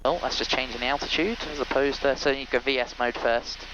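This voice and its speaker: A man's voice: mid-range voice, steady tone, a little fast. A man piloting a small plane, chatting and reading back radio calls on a headset. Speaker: pilot and vlogger. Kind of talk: cockpit chat, checklist talk and radio readbacks. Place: cockpit of a small propeller plane in flight. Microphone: aviation headset. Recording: muffled headset audio with loud engine noise.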